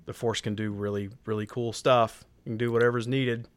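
A man's voice: medium pitch, level tone, a little fast, clear, resonant tone, no room sound. A man co-hosting a podcast in casual, joking conversation. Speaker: podcast co-host.

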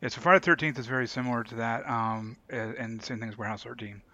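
Bright, forceful man on dull audio. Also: medium pitch, quick pace. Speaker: podcast co-host. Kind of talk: casual group chat.

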